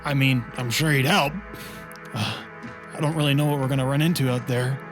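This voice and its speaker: A man playing a casual, evasive soldier reporting haltingly to his commander in a tabletop roleplay. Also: mid-range voice, steady tone, lightly acted. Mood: hesitant. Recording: music bed under the voice.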